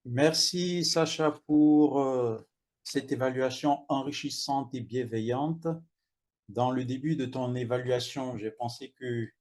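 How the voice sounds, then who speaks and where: A man chairing an online speaking club meeting, polite and measured. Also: medium pitch, fairly even intonation, darkish tone.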